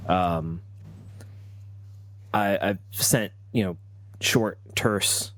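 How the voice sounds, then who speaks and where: A man improvising a first-person story as a role-player, halting and often repeating his words. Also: low voice, fairly bright tone.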